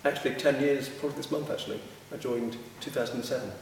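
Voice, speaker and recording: A man with a monotone voice asking and answering interview questions in plain, easy speech. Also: mid-range voice, quick pace, gravelly, slight background noise. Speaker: club member. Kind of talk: casual interview. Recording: echoey hall from far off.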